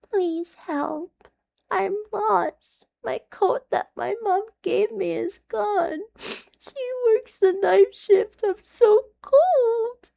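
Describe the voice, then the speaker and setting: A woman's voice: extremely high pitch, drawn-out words, vocal fry, warm tone, voice pushed up, full character voice. A woman voicing a lost, helpless child who pleads pitifully in an urban fantasy story. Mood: pleading, fearful. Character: lost, cold, helpless child used as a lure.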